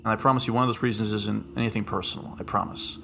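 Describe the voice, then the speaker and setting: A man with a deep voice hosting a casual podcast chat, joking and rambling off into asides. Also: steady tone, racing, vocal fry, music in the background. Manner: jokey, rambling, with frequent asides. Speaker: podcast host.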